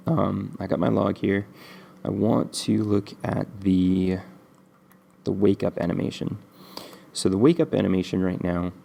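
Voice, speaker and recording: A man hosting a screen-recorded game coding tutorial, stuffy from a cold, talking in a relaxed, rambling way with many ums. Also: fairly low pitch, creaky. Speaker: game development tutorial host.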